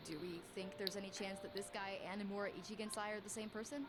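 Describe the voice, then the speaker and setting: An actress playing a no-nonsense, protective woman working at a bathhouse in a video game, brisk, outspoken and blunt. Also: mid-range voice, level tone, very noisy, close to an everyday voice. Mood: decisive. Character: no-nonsense, protective, feisty female bathhouse worker. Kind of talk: video game character acting.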